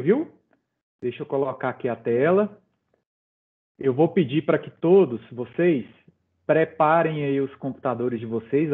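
A middle-aged man presenting in an online webinar, talking informally with many fillers and light jokes to the audience. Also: fairly high pitch. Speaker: presenter running a quiz. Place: home office. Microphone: video call. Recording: phone-like video call audio.

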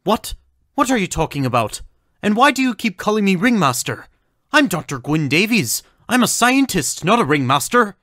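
A man acting a formal scientist with swooping pitch. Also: very animated, normal speed, fairly bright tone, dry recording, studio quality. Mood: confused, agitated. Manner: precise, formal speech. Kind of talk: audio drama acting.

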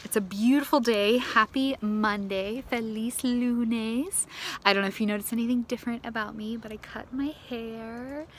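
A woman's voice: a little high, fairly even intonation, moderate pace, a bit bright, slight background noise. A young woman from the eastern United States, chatty and upbeat, leading young children through a casual nature-walk lesson, recorded outdoors on a phone held at arm's length. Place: outdoors in a sunny neighborhood.

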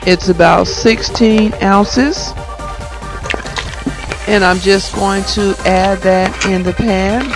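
A middle-aged woman vlogging about cooking, her voice hoarse from a cold, chatting eagerly to viewers. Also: mid-range voice, steady tone, slow pace, pauses often, over music, rough recording. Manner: chatty, enthusiastic. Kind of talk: casual cooking vlog. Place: parked car. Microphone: phone camera held close.

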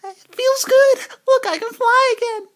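A man's voice: very high voice, steady tone, unhurried, fairly bright tone, pitched above the performer's own voice. A man voicing a sweet female bird plush toy in a homemade skit, recorded in an echoey room. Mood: relieved.

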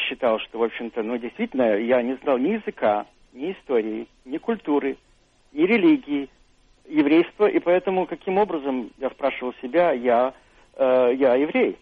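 An older man, a professor, answers slowly and reflectively, pausing on fillers. His voice sits somewhat high. The sound is dry and boxy, like a narrow radio line. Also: dry recording. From Russia. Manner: thoughtful, hesitant, many fillers and restarts. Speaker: author and university professor, studio guest.

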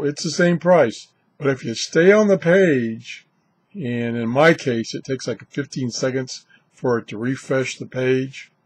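A man's voice: mid-range voice, slightly rough. An older man hosting a casual, unscripted product vlog, chatting in a rambling way. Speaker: video host reviewing shortwave radios. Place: indoors, small cluttered radio room.

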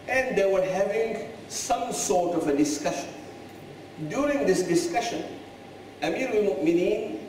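A man's voice: fairly high pitch, a little mellow, slight background noise. Middle-aged male cleric in an echoey hall. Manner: measured, emphatic preaching with Arabic recitation. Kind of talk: religious lecture. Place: large mosque hall, indoors. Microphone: clip-on mic. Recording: echoey hall, mic far from the voice.

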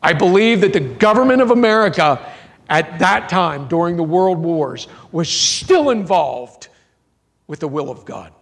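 A man preaching a sermon in a church, with rising emphatic phrases, drawn-out endings and rhetorical questions to the congregation. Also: fairly high pitch, lively intonation, creaky. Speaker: pastor.

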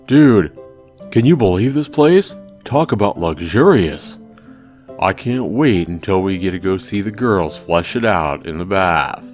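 A man's voice: low voice, expressive, a little slow, a little mellow, faint music behind. A man voicing a cocky, goofy teenage schoolboy from a visual novel, brash and teasing. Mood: eager, cocky. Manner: brash, teasing dude talk.

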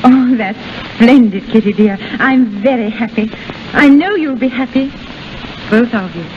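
A woman's voice: fairly high pitch, measured pace, a little hiss or hum, lightly acted. A woman playing kindly mother, muffled old-time radio. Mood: delighted. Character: kindly, anxious English mother of grown son. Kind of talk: 1930s radio acting. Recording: muffled 1930s radio broadcast with music.

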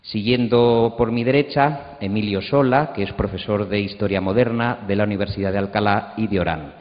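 A man's voice: on the low side, moderate pace. A middle-aged man with a Castilian Spanish accent, moderating a panel and introducing its speakers into a handheld microphone on an auditorium stage. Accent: Castilian Spanish from Spain. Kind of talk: introducing panelists at a round table. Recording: muffled, reverberant auditorium recording.

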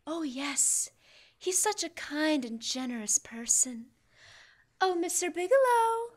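A young woman acting a coy, lovestruck shop lady in an old-time radio comedy, gushing deliberately. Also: sits high, animated. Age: young adult. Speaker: high school student actor. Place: school library room, live staged radio show. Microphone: shared stand microphone.

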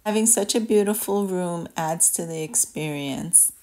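A woman's voice: on the low side, measured pace, dark tone. A woman travel vlogger giving a casual voice-over review of a trip.

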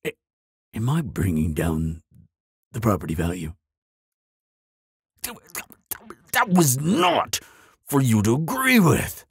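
A man voicing a proud, grumpy but soft-hearted bogeyman in an audio roleplay, with a gravelly rasp and a pompous, easily flustered delivery. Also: medium pitch, very animated, takes it slowly, pauses often, no room sound, clean studio sound, full character voice. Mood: flustered, indignant. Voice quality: raspy, gravelly. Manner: pompous, flustered stammering, dramatic.

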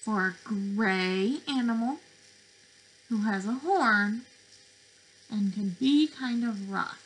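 A young adult woman reading interactively to small children as a teacher, expressive and sing-song, with questions and pauses. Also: medium pitch, slightly rough, poor audio. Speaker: speech-language pathologist presenting to preschoolers. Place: bedroom, indoors.

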